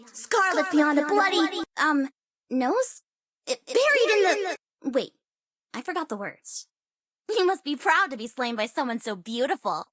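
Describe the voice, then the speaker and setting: A woman's voice: high voice, sharp tone, no echo, studio quality, heavily acted. A woman voicing a vain, cocky young sorceress with a violent streak and a teasing, theatrical delivery for a video game. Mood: flustered incanting. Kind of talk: video game character voice lines.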